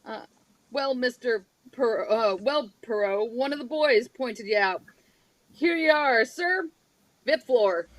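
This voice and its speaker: A woman's voice: on the high side, lively intonation, takes it slowly, no room sound, low-quality recording. A woman playing an eager, hesitant new elevator operator in an old-time radio drama, deferential and fumbling.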